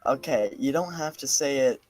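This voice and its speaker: A man's voice: medium pitch, expressive, normal speed, over music. A man chatting casually and jokingly with a group on a gaming livestream. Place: online group voice call.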